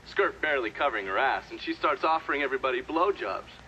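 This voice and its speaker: An actor with an American accent playing a cocky, crude war veteran in a video game, sardonic and profane. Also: male voice, mid-range voice, animated, quick pace, pushed and bright. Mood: crude, defiant. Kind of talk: video game cutscene acting. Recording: dull sound with clear background noise.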